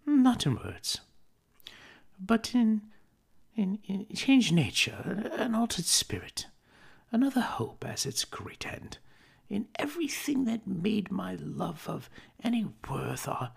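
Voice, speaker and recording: Lady playing a sad young woman, soft and slow, a bit breathy and creaky. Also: mid-range voice, sing-song, heavily acted. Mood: sorrowful. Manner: earnest, soft, sorrowful. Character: young woman ending an engagement, sorrowful.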